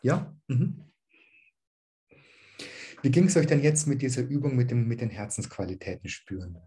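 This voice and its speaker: A man teaching dharma to an online group, calm and searching, with a slight cold. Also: mid-range voice, normal speed. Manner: calm, searching, with many "ähm" restarts.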